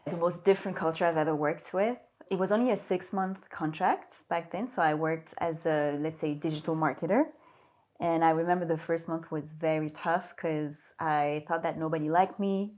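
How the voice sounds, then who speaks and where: A woman in her thirties from Belgium, a recruiter talking casually as an interview guest. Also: low voice. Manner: relaxed, conversational, slight restarts.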